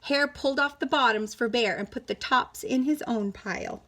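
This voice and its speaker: A woman reading a picture book aloud to children in an animated, teacherly way, pausing to question and explain to her listeners. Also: on the high side.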